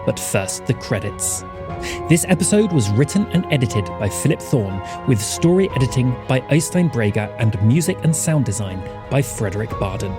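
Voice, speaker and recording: A man's voice: medium pitch, big pitch swings, normal speed. A man announcing podcast credits from a script. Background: music.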